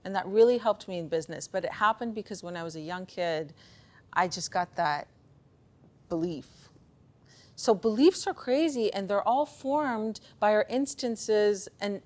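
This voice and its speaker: A woman speaking casually in a motivational talk, telling personal stories in an animated, conversational way. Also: medium pitch. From Pakistan, of Afghan heritage. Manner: conversational, animated storytelling, acting out bits of dialogue. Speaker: speaker giving a conference talk on beliefs and performance.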